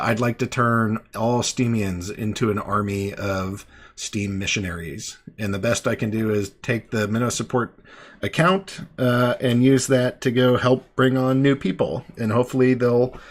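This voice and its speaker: A man in his thirties hosting a live online call-in show, relaxed and chatty, with many fillers and restarts. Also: medium pitch, measured pace. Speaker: host. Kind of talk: casual live call-in chat.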